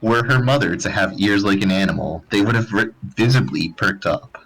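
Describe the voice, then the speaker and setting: Low-voiced man narrating a comedic story. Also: normal speed, fairly bright tone, faint music behind, low-quality recording. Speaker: narrator of a live story reading. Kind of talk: comedic dramatized narration of fan fiction.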